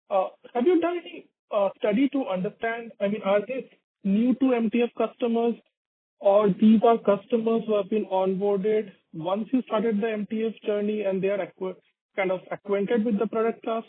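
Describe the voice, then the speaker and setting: Indian analyst guy, high husky voice, asking detailed questions on a dry phone line. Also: dry recording.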